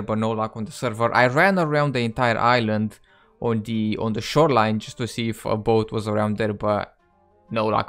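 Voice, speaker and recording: Man, gaming host, casual commentary, chatty, self-deprecating, voice going hoarse. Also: mid-range voice, a bit bright.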